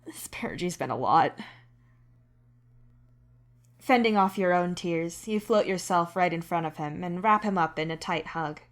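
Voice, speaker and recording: A woman's voice: fairly low pitch, normal speed, few pauses. A woman reading scripted second-person story narration as the narrator of a fiction podcast. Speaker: podfic narrator and author.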